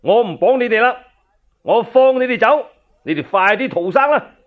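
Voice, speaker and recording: A man with a Cantonese accent voicing an imposing imperial general in a storytelling broadcast, loud and declaiming. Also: very high-pitched, lively intonation, moderate pace, voice pushed up. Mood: insistent. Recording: dull lo-fi radio recording.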